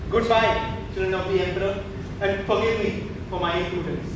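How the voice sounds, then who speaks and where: An actor playing a gentle, humble Sufi holy man, earnest and deferential, in a stage drama. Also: high-pitched, fairly even intonation, brisk, lightly acted. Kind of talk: theatrical stage acting. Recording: echoey stage, distant mic, noisy.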